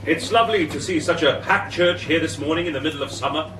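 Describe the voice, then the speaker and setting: An actor playing a new village minister, polite in church and defensive under attack. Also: male voice, a little high, quick pace, recorded from a distance, noisy background, natural voice. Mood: cheerful. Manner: polite, then defensive and exasperated. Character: earnest young male minister, flustered.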